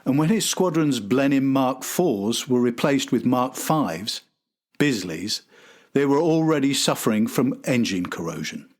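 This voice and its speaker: A man's voice: mid-range voice, expressive, measured pace. A man hosting a podcast, reading a scripted historical documentary narration.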